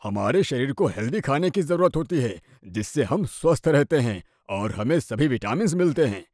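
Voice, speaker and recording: Man voicing a lecturing older father-in-law, mid-pitched. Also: expressive, normal speed, darkish tone, dry recording, clean studio sound, natural voice. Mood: cautioning. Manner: admonishing, lecturing. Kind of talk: cartoon moral story dialogue.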